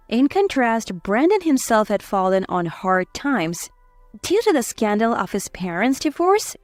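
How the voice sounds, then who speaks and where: A woman's voice: medium pitch, no room sound. A woman reading scripted dramatic story narration.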